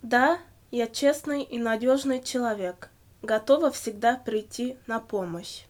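Female, slightly high voice, reciting model answers for language learners in measured full sentences. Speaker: language-lesson model speaker answering questions. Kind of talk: scripted language-lesson dialogue, read aloud.

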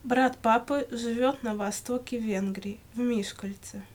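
Higher-pitched woman reading lesson answers. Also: fairly even intonation, unhurried. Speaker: language-lesson model speaker answering questions. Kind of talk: scripted language-lesson dialogue, read aloud.

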